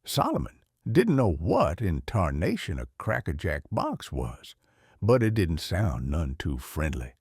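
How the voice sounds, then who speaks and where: A man with a Southern US accent reading a children's storybook aloud in a folksy, expressive way. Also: sits low, measured pace, husky, dry recording, studio quality. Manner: folksy, animated.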